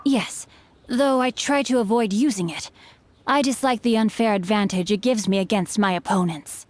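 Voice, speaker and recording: A man voicing a young male swordsman who speaks in a formal, measured, earnest way. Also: high-pitched, a little hiss or hum, close to an everyday voice. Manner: formal, stiff, measured speech.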